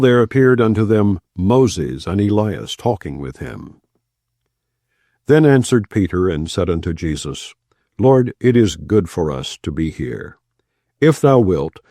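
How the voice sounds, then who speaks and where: Guy with a really low voice reading scripture slow and solemn, lots of rise and fall, dry sound. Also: dark tone, no room sound. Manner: measured, solemn reading of archaic verse. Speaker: audiobook narrator.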